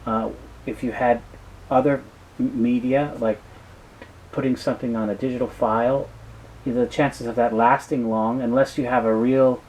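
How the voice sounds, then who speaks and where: A man being interviewed, talking casually and explaining things in a halting way with frequent restarts. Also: mid-range voice, fairly even intonation, darkish tone, a little hiss or hum, rough recording. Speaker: business founder being interviewed.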